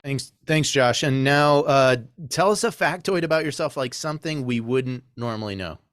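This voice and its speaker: A man hosts a relaxed podcast, teasing guests and handing the floor to each one. His voice is smooth and resonant. It is recorded close up and dry, with no room sound. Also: mid-range voice, normal speed, no echo. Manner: jokey, friendly, prompts guests. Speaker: podcast host. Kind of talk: hosting a casual podcast.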